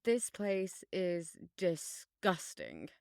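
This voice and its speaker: A woman's voice: on the low side, wildly swooping pitch, very slow, clear, resonant tone, dry recording, studio quality, heavily acted. A woman playing a bossy, fussy, self-important schoolgirl in a scripted audio drama, haughty and theatrical in delivery. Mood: squeamish.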